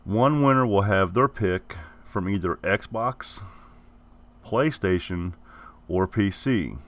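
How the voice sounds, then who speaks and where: A man's voice: fairly low pitch, slow pace, a bit bright. A man hosting his gaming channel who is getting over the flu, talking casually and correcting himself as he goes. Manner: rambling, repeats and corrects himself. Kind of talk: casual giveaway announcement.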